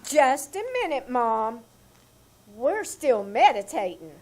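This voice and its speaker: Woman as bold brassy maid, slow swooping drawl, high. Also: sing-song, darkish tone, heavily acted. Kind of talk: 1940s radio comedy acting.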